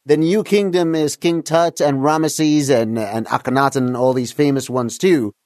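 A man's voice: fairly high pitch, steady tone, normal speed, darkish tone, dry recording, clean studio sound. A man from Egypt, a guest in a casual interview, eagerly explaining history and telling stories from his own life, laughing often. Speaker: guest, documentary producer.

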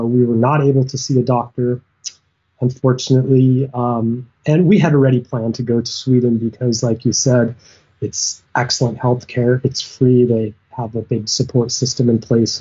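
An easygoing man with a warm, mellow voice and a slightly husky edge, talking as a guest. He comes through a dull, lo-fi remote call and speaks loosely with small hesitations. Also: medium pitch. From United States. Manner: relaxed, easygoing, some hesitations. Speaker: guest, sailor. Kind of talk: casual interview chat. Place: at home.

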